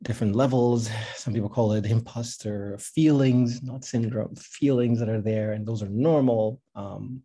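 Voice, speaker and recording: A man's voice: mid-range voice, steady tone, moderate pace, warm tone, slightly rough. A middle-aged man from Tanzania speaking informally and encouragingly in a workshop talk, often repeating phrases for emphasis. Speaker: speaker, founder of a science advocacy institute. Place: indoor room with bookshelves, on a video call.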